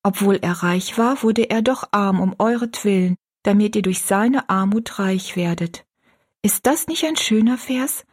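A woman voicing an earnest, pious little girl who gently explains a Bible verse to a friend. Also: medium pitch, a little slow, breathy edge.

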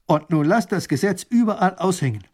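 A man's voice: high-pitched, animated, a little mellow, slightly rough, voice pushed up. A man voicing a small, hot-tempered male grand duke who gives orders imperiously. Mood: indignant. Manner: imperious, quick to anger.

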